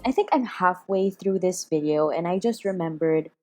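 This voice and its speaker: A woman's voice: lowish voice, normal speed, darkish tone. Chatty woman over background music. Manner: chatty, self-deprecating. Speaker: beauty vlogger. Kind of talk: casual makeup tutorial voice-over.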